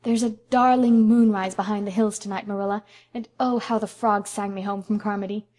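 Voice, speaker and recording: A woman's voice: medium pitch, steady tone, brisk, a little mellow, close to an everyday voice. A woman voicing a dreamy, effusive young woman who speaks in a lyrical, gushing way.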